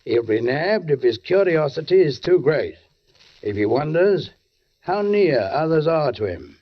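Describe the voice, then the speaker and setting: An actor playing a suspicious, deliberate police sergeant who narrates a murder case in a 1940s radio drama, with measured, pointed pauses. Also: male voice, a little high, very animated. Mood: knowing, pointed. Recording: dull 1940s radio recording.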